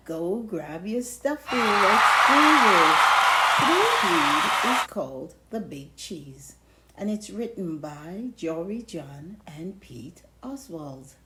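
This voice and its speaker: A woman hosting an expressive read-aloud for children. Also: lowish voice, slow pace, noisy background. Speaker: children's book reader and host. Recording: roomy, echoing sound.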